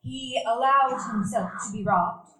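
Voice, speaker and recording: A woman's voice: a little high, unhurried, a bit bright. A woman plays an elderly, stern spinster who scolds in a disapproving tone. Her voice echoes through a live theater hall. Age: older. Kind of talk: live radio play acting.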